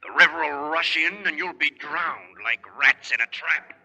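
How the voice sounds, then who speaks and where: An actor playing a gloating, menacing villain with an American accent and a harsh, taunting delivery in 1930s radio drama style. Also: male voice, a little high, normal speed, sharp tone, heavily acted. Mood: vicious, rising threat. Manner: taunting, emphatic. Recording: old radio recording, echoey hall reverb.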